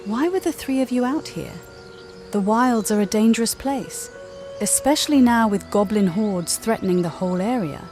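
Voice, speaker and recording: A woman voicing a calm, direct healer adventurer in a fantasy game, with a measured, questioning manner. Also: mid-range voice, faint music behind, natural voice. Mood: inquiring. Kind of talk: amateur game character voice-over.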